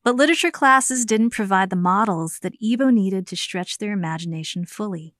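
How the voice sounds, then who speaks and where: An American woman speaks as a podcast host and narrator. Her delivery moves between prepared narration and conversation, at a middling pitch. The sound is close and dry, with very little room around it. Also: normal speed, fairly bright tone, no echo. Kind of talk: scripted narration mixed with conversational interviewing.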